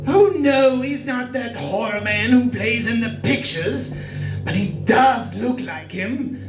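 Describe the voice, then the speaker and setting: Over music in a roomy space, a ghoulish, jovial horror host, played by a woman addresses radio listeners. The delivery is very unhurried and theatrical, in a macabre 1940s style, some distance from the mic. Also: on the high side. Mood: ghoulishly playful. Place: live stage performance in a venue.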